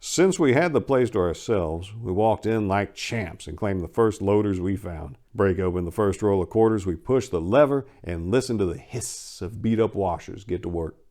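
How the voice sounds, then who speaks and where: A man giving a dramatic first-person reading of a short story in a wry, sardonic and expressive manner. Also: fairly low pitch, normal speed, few pauses. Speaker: story narrator.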